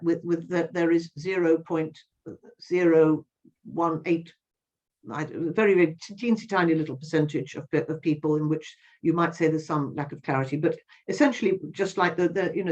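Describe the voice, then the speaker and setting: A woman's voice: sits low, fairly even intonation, brisk, darkish tone. An older woman giving earnest interview answers, impassioned, often restarting and repeating words. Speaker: interviewee, activist. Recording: slightly dull, lo-fi sound.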